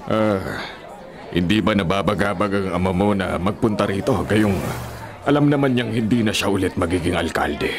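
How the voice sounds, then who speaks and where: A man's voice: sits low, normal speed, crowd noise. A man in a radio drama playing a scheming, condescending older town mayor with a smug, falsely genial and pointed manner. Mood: condescending, warning.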